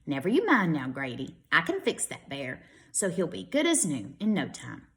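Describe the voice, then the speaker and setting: A woman voicing a calm, confident old grandfather in a storybook, soothing and reassuring. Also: low voice, moderate pace, voice pushed down.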